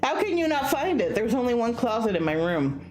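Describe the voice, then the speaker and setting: Woman playing exasperated young guy, visual novel lead, raised pitch, lively, pleading delivery. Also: fairly quick, echoey room.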